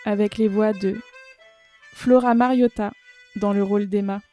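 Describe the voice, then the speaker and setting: A woman's voice: medium pitch, steady tone, unhurried, dark tone, soft background music. A woman reading scripted credits as an announcer.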